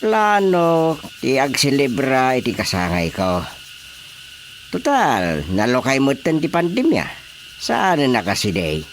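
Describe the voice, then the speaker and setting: A pompous village chairman with an Ilocano Filipino accent, boasting in a slow, swooping delivery. It is radio comedy drama, with noticeable noise behind the voice. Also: mid-range voice, wildly swooping pitch. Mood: self-important planning. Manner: pompous, self-important. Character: boastful village chairman planning his grand birthday party.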